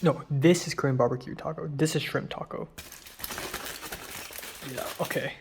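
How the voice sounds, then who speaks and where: A young man chatting casually in a vlog, tasting food and recounting his day, his voice a little raspy after a long phone call. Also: on the high side, normal speed, background noise. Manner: relaxed, chatty, drifting between soft murmurs and louder remarks. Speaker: vlogger.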